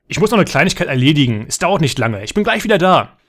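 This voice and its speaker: A man voicing an impatient father who speaks louder than the narration. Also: on the high side, very animated, quick pace. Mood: hurried.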